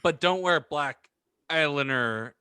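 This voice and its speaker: A man co-hosting a podcast in casual banter, with a hesitant, halting delivery. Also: on the high side, sharp tone, clear, resonant tone, no room sound, studio quality. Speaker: podcast co-host.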